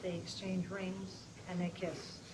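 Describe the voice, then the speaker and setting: A woman's voice: lowish voice, little pitch movement, takes it slowly. A woman reading scripted screen directions aloud in a plain, matter-of-fact manner at a table read. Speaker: reader of stage directions. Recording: very noisy live room recording.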